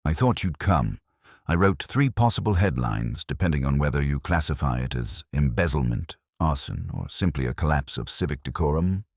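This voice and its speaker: A man voicing a bright, eager female gossip columnist in a mystery story, chatty and conspiratorial. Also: extremely low pitch, animated, normal speed, no room sound, studio quality, heavily acted.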